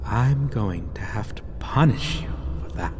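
Casting: male actor as menacing five-hundred-year-old vampire lover for gothic audio roleplay, possessive, highly animated delivery, heard in a long reverberant hall. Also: slight background noise. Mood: menacing amusement. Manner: slow, theatrical, swearing, swinging between whispers and cries. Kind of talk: dramatic gothic ASMR roleplay.

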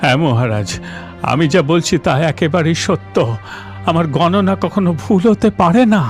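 A man's voice: a little high, expressive, moderate pace, faint music behind, lightly acted. A man voicing a grave royal priest in a cartoon fairy tale, speaking formally with solemn foreboding. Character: grave royal priest and astrologer of a magical kingdom.

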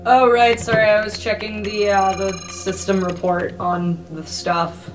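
A woman's voice: medium pitch, little pitch movement, a little slow, over music, rough recording. A woman playing in an improvised tabletop game, speaking casually and asking about the scene. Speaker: player. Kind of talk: casual improvised roleplay chat.

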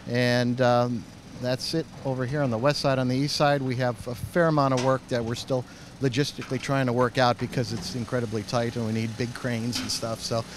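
A middle-aged man with a medium-pitched voice gives plain, unpolished answers full of pauses and fillers. He talks into a handheld mic outdoors in a half-built stadium. Faint city noise sits behind him. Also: little pitch movement. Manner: plain-spoken, halting, with many fillers. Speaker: project manager. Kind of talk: casual on-site interview answers. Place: outdoors in an unfinished stadium under construction. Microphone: host's handheld microphone.

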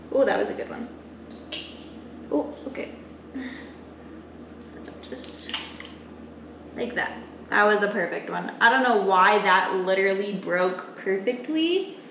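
A woman's voice: mid-range voice, fairly bright tone. A young adult woman giving a casual, step-by-step cooking demonstration in an informal, explanatory way while she works in a home kitchen. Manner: informal, explanatory, talking while working with her hands. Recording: roomy kitchen echo, clear background noise.

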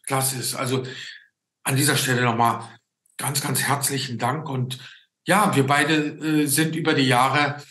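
A man's voice: mid-range voice, fairly even intonation, a little slow, sharp tone. A man in his sixties hosting a casual video-call interview, searching for his words as he goes. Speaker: host. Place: room indoors, on a video call. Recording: roomy, echoing video-call sound.

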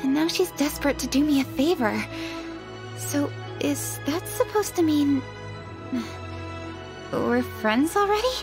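A woman's voice: sits high, animated, measured pace, long pauses. A woman voicing a proud, self-conscious young lady in an anime-style video game, composed but slightly defensive. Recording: music under the voice. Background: game music.

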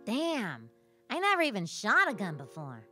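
A woman's voice: mid-range voice, huge pitch swings, normal speed, pushed and bright, soft background music. A woman voicing a streetwise, brash nine-year-old boy in a slapstick radio play. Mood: cheeky. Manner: brash, slangy.

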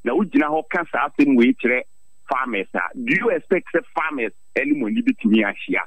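Ghanaian male interviewee, farmers' leader. Lively swooping delivery, emphatic and questioning, on dull band-limited radio sound. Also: mid-range voice, fairly quick, slightly rough, dry recording. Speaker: farmers' association president, interviewee. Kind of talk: impassioned radio interview, airing grievances.

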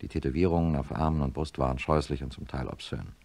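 Very deep, husky male surgeon narrating. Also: a little mellow, dry recording, full character voice. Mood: shaken. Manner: measured, matter-of-fact. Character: sober male neurosurgeon professor recounting his experiment. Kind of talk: first-person radio drama narration.

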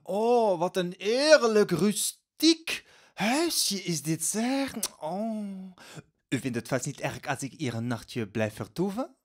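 An actor playing a flamboyant, vain, frivolous travelling gentleman in a radio drama, gushing theatrically. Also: sits high, big pitch swings, slow, heavily acted. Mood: gushing, dramatic. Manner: theatrical, effusive, gushing with exclamations.

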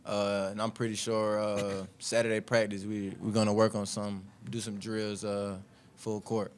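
A young man, a college basketball player, answering press questions after a game, halting and heavy on "uh" and "you know". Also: lowish voice, little pitch movement. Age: young adult. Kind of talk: postgame press conference answers. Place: press room, seated at table. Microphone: table mic.

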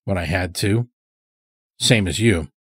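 Guy doing a curt hunter lodge boss for a story, low husky voice, guarded. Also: a little slow, a bit bright, dry recording, clean studio sound, heavily acted. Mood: curt, wary. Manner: curt, restrained. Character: guarded, terse male hunting lodge master. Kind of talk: dramatic audio story character.

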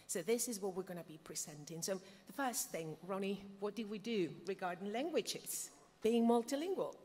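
A woman's voice: mid-range voice, lively intonation, normal speed, warm tone, a little hiss or hum. Woman presenting at a panel, hall reverb. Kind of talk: semi-scripted presentation. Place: indoor hall, panel table. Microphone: table microphone on stand.

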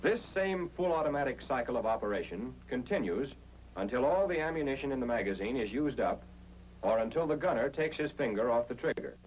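A man's voice: medium pitch, lively intonation, a little fast, a little mellow, muffled recording. An American man narrating an instructional film in 1940s style, explaining things in a methodical, step-by-step way. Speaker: narrator of a military training film. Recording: noisy 1940s film soundtrack with hum.

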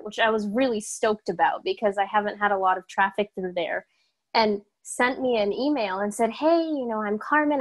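A young woman from Massachusetts, introducing herself in an upbeat, chatty way over a laptop video call. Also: fairly high pitch. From Massachusetts, USA. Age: young adult. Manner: upbeat, energetic, chatty with fillers. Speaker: guest performer. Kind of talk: casual self-introduction.

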